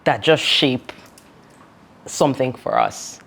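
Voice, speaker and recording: A woman's voice: rumbling low, fairly even intonation, slow, fairly bright tone, husky. Nigerian woman telling her story with laughs. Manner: earnest, laughs often, many "like" and "you know" fillers. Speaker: founder and podcast guest. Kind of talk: reflective conversational storytelling.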